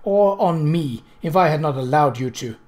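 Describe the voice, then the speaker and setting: An icy, commanding woman from a storybook, acted out by a man reading aloud. She speaks with bleak emphasis and tightly controlled fury, in a slightly raised pitch. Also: lively intonation, normal speed, darkish tone, close to an everyday voice. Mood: furious.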